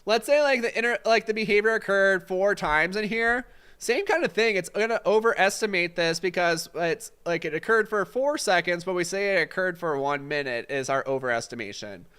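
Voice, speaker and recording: A man's voice: high voice, moderate pace, sharp tone, smooth. A man with a congested, fading voice teaching a casual live-stream exam study session, answering chat questions with lots of fillers. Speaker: exam prep instructor.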